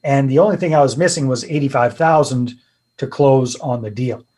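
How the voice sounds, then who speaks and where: A middle-aged man from British Columbia speaks with a Canadian accent. He tells his story in a relaxed, chatty and self-deprecating way and laughs often. His voice sits at a middling pitch. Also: moderate pace. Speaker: podcast guest, real estate investor. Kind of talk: casual storytelling interview.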